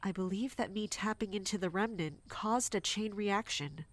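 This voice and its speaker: Female captain of a royal guard, played by an actress: dutiful, guarded, mid-pitched, formal drama delivery, dry sound. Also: moderate pace, no echo, close to an everyday voice. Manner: formal, deferential. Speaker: voice actor in audio drama. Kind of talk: dramatic audio drama acting.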